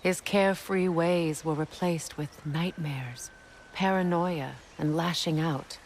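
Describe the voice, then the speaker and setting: A somber, mature goddess in a video game. She is reflective and measured, with a faint noise behind her. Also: low voice, fairly even intonation, a little mellow, poor audio. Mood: somber recollection. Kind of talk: dramatic video game storytelling.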